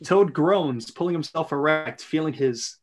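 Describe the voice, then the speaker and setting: Guy reading out stage directions, voice a bit high, little bit of hiss, amateur recording. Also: unhurried. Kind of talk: amateur scripted narration.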